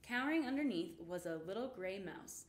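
A young woman reading a children's picture book aloud in an expressive storytelling way. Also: mid-range voice, normal speed. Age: young adult. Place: indoor room with painted rainbow backdrop. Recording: roomy, echoing indoor sound.

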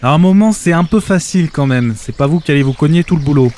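A man's voice: a little high, lively intonation, quick pace, a little mellow, natural voice. An actor playing a sarcastic, overwhelmed everyman ark builder in a comedic audio drama, haggling in hesitant, colloquial speech. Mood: grumbling.

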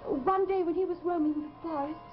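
Casting: haunted young woman, high plaintive voice, earnest 1950s TV horror melodrama, muffled soundtrack. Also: fairly quick. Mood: haunted, grave. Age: young adult. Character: tormented, fearful young woman who believes she is possessed. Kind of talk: 1950s live television melodrama acting. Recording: muffled, noisy old TV soundtrack.

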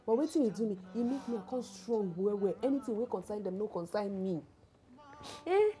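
Actress as animated, blunt Nigerian best friend. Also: female voice, medium pitch, a little fast, soft background music, lightly acted. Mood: scornful, consoling. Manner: scolding yet reassuring, emphatic. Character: blunt, loyal female friend consoling a heartbroken woman. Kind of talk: film drama dialogue in Nigerian Pidgin.

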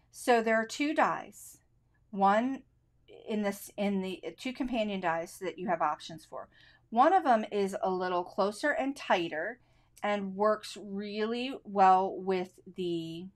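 A middle-aged woman leading a live card-making demo, chatting enthusiastically and answering viewers' questions. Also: medium pitch. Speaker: card-making instructor hosting a live stream. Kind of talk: casual live craft demonstration. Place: craft desk, indoors.

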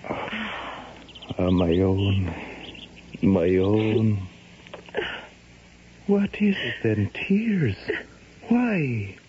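An Irish storyteller speaks slowly with a theatrical lilt. His animated voice belongs to old radio drama, on a dull, noisy broadcast recording. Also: on the low side. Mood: ardent, indignant. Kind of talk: 1970s American radio drama acting.

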